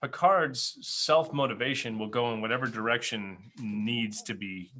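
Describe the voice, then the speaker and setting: Bright-voiced man chatting as podcast host. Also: medium pitch, moderate pace. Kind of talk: casual fan discussion.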